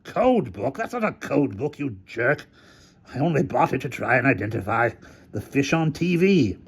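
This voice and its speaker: A man voicing a big, exasperated police officer in a storybook, defensive, scornful and loud in protest. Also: mid-range voice, very animated, normal speed, vocal fry, husky, pitched above the performer's own voice, exaggerated voice.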